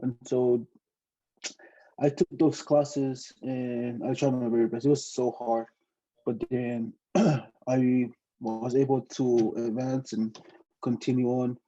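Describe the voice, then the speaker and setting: A young man from Tonga, a native Tongan speaker talking English, telling his story haltingly with many fillers and laughs. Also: medium pitch, flat delivery, dark tone, dull audio. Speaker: podcast guest, student pilot. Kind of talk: casual interview storytelling.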